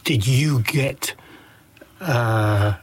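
A man hosting a podcast interview, with a deep, comforting voice, asking long, measured and thoughtful questions. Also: husky. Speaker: podcast host. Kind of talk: interviewing a guest.

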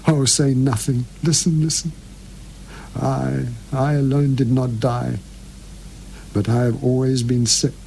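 A man's voice: medium pitch, flat delivery, takes it slowly, creaky, pauses often, a little mellow, a little hiss or hum, low-quality recording. A man reading aloud as a frightened, dying elderly woman who confesses in broken, pleading phrases. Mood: hushed confessional.

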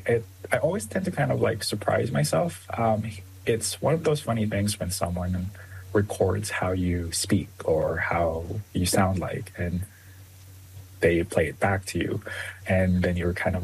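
A young man from the Philippines, first language Tagalog, answering interview questions as a guest author, with many ums and soft laughs. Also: deep voice, moderate pace, vocal fry, a little hiss or hum, poor audio.

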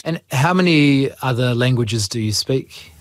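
A man interviewing a guest with measured, encouraging questions. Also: medium pitch, expressive, dry recording.

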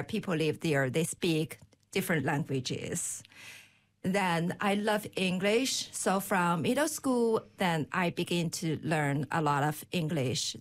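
A woman in her thirties or forties from Inner Mongolia, whose first language is Chinese, speaking with many hesitant fillers and restarts. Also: sits low. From Inner Mongolia, China. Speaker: guest at an open day. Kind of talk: casual conversation.

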